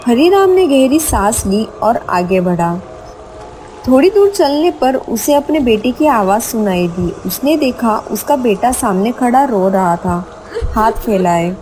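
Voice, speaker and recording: High-pitched woman narrating horror. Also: animated, unhurried, a little mellow, slight background noise, low-quality recording. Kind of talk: scripted horror story narration.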